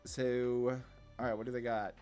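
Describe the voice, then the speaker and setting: A man's voice: mid-range voice, little pitch movement, a little slow, darkish tone, clear, resonant tone. Man thinking aloud over a card game. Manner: thinking aloud, hesitant self-questioning. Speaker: gameplay video host. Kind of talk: casual card game play commentary. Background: background music.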